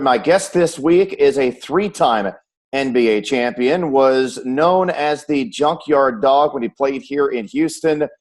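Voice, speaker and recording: Slightly higher-voiced man hosting a sports chat. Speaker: host, play-by-play sports announcer.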